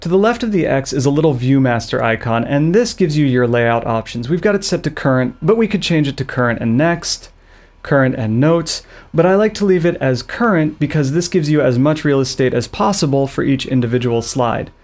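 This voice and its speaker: A man in his thirties walks viewers through a gadget setup in a loose, jokey way. He speaks at an ordinary pitch, close to a studio boom microphone in a small studio. His asides are playful and silly. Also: expressive, a little fast, a little mellow. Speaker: video tutorial host. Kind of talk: loose, jokey tech tutorial. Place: small studio with a blue backdrop. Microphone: studio mic on a boom arm, close.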